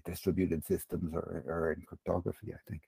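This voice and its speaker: A middle-aged man from the United States talks casually as an interview guest. His voice is heavily creaky and dark, and he explains in a halting way, restating phrases. The recording is dry and close. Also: deep voice, level tone, no echo. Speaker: podcast guest, crypto educator and former bond trader.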